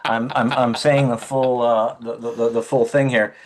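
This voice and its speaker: A man's voice: medium pitch, normal speed. A man who is an actor, a guest on a video call interview, telling rambling stories with frequent laughs. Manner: rambling, laughing, animated storyteller. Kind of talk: casual interview chat.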